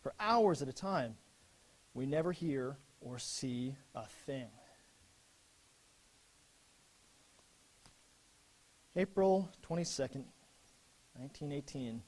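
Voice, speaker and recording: An actor playing an earnest young American lieutenant in a dramatic, expressive reading of his letters home from war. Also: medium pitch, close to an everyday voice, low-quality recording. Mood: matter-of-fact, reassuring.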